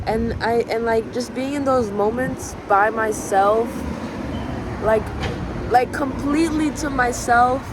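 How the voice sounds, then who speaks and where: A young woman who grew up speaking Spanish first drifts from thought to thought in a loose, filler-laden monologue. Her voice sits at an ordinary middle pitch. She is recorded outside, with clear street and car noise behind her. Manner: musing, filler-heavy, drifting between thoughts. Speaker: vlogger. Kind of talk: rambling reflective monologue.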